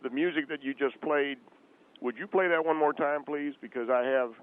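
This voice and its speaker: A thin phone line carries a man acting as a defensive, humorless male caller in a comedy radio phone-in. His voice sits a little high, and he pleads his case haltingly, with earnest indignation. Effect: telephone line. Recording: tinny, band-limited phone line.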